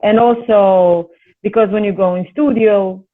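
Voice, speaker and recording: Woman with an Italian accent, husky, earnest, casual chat, tinny phone-like sound. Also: mid-range voice, steady tone, measured pace. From Italy. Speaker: guest singer and voice teacher. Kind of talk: casual conversation.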